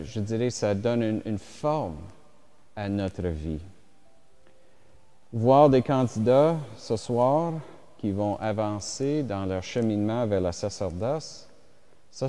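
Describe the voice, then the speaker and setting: English-speaking bishop preaching slow in a big echoey church, lowish mellow voice. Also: male voice, smooth. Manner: slow, reflective preaching with pauses and fillers. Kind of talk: homily at mass. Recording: reverberant church hall.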